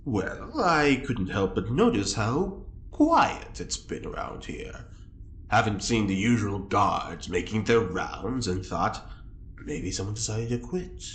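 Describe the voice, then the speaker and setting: A man's voice: lowish voice, sing-song, takes it slowly, a bit bright, full character voice. A man playing a scheming, teasing prisoner in an audio drama, with a sly, theatrically coaxing manner. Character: smooth-talking, scheming, teasing male prisoner. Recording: echoey room with faint noise.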